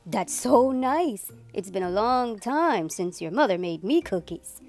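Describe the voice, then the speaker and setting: A woman voicing an excitable, easily pleased father in a children's storybook, with drawn-out exclamations. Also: medium pitch, faint music behind, pitched below the performer's own voice.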